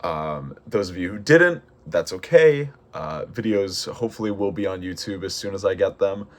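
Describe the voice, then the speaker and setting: A young man with an American accent and a deep, low-pitched speaking voice. He talks casually and informally, in the style of a personal vlog addressed straight to the viewers. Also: expressive, normal speed. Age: early 20s. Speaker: vlogger and amateur musical theater performer. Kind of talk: casual vlog talking to camera.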